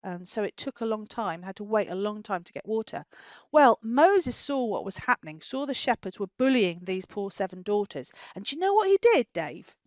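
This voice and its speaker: A British woman hosting story time for young children, asking animated questions, stretching key words and adding tag questions. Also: medium pitch, normal speed, no echo, dull audio. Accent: British English.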